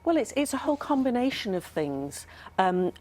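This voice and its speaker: A woman's voice: a little high, big pitch swings, normal speed, darkish tone. A woman speaking as an expert in a studio interview, explaining things thoughtfully with some hesitation. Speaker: academic expert guest, professor.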